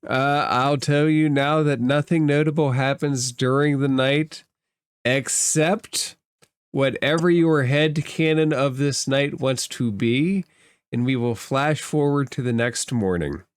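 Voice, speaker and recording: A man running a tabletop roleplaying game as game master, narrating in a measured, deliberate way. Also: medium pitch, clear, resonant tone, no echo.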